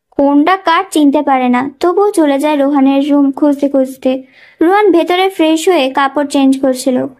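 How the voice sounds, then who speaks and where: High-pitched woman narrating a story. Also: a little mellow. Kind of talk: scripted dramatic story narration.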